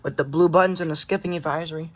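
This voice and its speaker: A man's voice: fairly high pitch, fairly even intonation, racing. A teenage boy casually showing his weather radio collection at home, rambling and often correcting himself. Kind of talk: casual show-and-tell.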